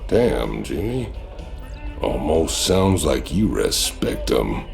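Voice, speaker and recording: An actor playing a brash, swaggering mercenary marine with a crude drawl. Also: male voice, sing-song, slow pace, a little mellow, soft background music, full character voice. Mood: mocking. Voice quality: deep gravelly.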